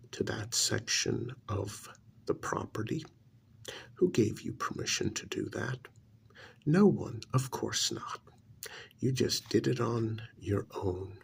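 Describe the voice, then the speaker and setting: A man playing a haughty, irritated older billionaire uncle in an ASMR roleplay, gravelly and breathy, talking down in drawn-out, sarcastic phrases. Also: fairly low pitch, a little hiss or hum, heavily acted. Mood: stern disbelief. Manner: slow, condescending, drawn-out sarcasm with pauses.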